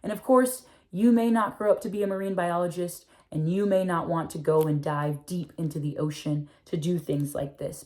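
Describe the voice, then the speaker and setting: A woman reading a children's picture book aloud, expressive, often slowing and stretching her phrases. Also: deep voice. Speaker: read-aloud narrator.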